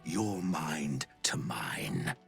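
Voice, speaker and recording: A man voicing a sinister, condescending schemer in a video game, breathy, deliberate and taunting. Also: extremely low pitch, sing-song, a bit bright, soft background music, full character voice. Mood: quiet menace. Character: sinister condescending male schemer, menacing and mocking.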